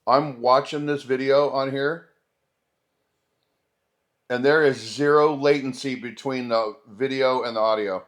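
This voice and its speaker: A man's voice: medium pitch, steady tone, a little slow, long pauses, dry recording, studio quality. A man hosting a gear review vlog, chatting informally and directly to his viewers. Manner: informal, conversational, talks to viewers. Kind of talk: casual product review chat to camera.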